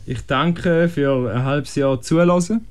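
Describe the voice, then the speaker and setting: A male radio co-host chats casually in a studio. His pace is very unhurried, and his voice sits a little high for a man. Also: clean tone. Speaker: music radio show co-host. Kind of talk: casual studio chat, sign-off.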